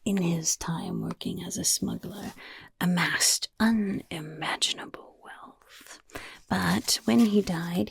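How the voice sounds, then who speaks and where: A woman voicing a sly, gold-loving female goblin rogue in a whispered ASMR fantasy roleplay, breathy and coy, with rambling asides. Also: on the low side, no echo, heavily acted. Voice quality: breathy, husky, crackly whisper. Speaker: roleplay quest-giver for a rogues' league.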